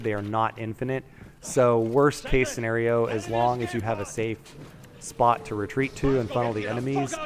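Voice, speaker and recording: A man giving matter-of-fact, explanatory strategy commentary over a game playthrough. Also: medium pitch. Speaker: gaming video maker. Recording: voice-over with game noise behind. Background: game sounds, gunfire.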